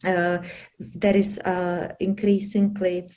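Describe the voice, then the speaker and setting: A woman with a Czech accent giving a panel presentation, halting often with fillers and restarts. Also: lowish voice, little pitch movement, dark tone. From Czech Republic. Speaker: academic panelist.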